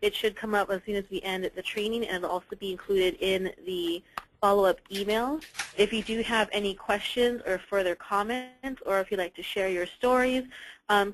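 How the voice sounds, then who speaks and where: A woman with a medium-pitched voice wraps up a webinar as host. She reads the thanks and announcements politely and steadily. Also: low-quality recording. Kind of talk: scripted webinar closing.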